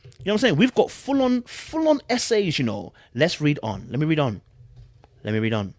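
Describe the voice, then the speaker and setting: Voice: medium pitch, very animated, fairly quick, dry recording, studio quality. A podcast host with an urban London accent and slangy speech, commenting in an exasperated, incredulous way and repeating phrases for emphasis. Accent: British, urban London slang. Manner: informal, slangy, conversational. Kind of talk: animated commentary on a celebrity breakup statement.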